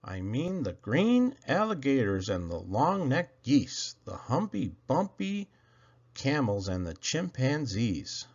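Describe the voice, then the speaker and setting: A man reading children's poetry aloud in an animated, theatrical way with drawn-out phrasing. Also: mid-range voice, slightly rough, muffled recording. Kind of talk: expressive read-aloud of children's verse.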